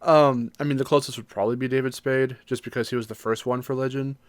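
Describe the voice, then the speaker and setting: A man co-hosting a casual podcast discussion, talking in a halting, reflective way and hedging his opinions. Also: medium pitch, fairly even intonation, no room sound. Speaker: podcast co-host.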